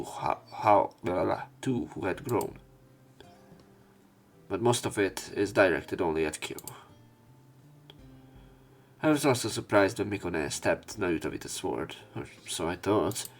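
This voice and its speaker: A man reading visual novel text aloud as a let's play narrator, in a somewhat halting manner. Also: low-pitched. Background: game music.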